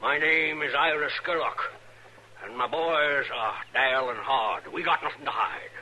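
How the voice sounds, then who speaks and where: Mad old American backwoods judge: piercing, forceful, preachy menace, radio western on a slightly noisy vintage recording. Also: male voice, medium pitch, normal speed. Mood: defiant, preachy. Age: older. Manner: preachy, menacing, archaic biblical phrasing. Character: mad, righteous old bearded frontier patriarch and self-appointed judge.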